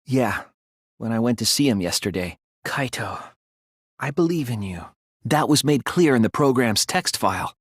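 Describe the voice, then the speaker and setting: A man voicing an earnest, thoughtful teenage boy detective in an English video game dub, reasoning deliberately through his lines. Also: mid-range voice, expressive, dry recording, clean studio sound, natural voice. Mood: quiet, subdued.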